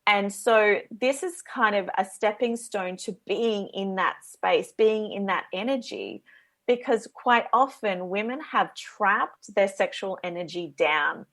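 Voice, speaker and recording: A woman's voice: medium pitch, expressive, measured pace, a bit bright. Earnest Australian woman explaining eagerly. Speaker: guest, women's coach. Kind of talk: radio interview talk.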